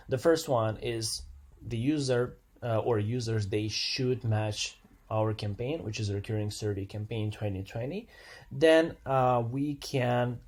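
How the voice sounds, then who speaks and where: A man with a mid-pitched voice walks through a piece of software as a tutorial presenter. He explains things step by step, unscripted, with some hesitation and repeated phrases. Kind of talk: unscripted screen-share walkthrough, explanatory.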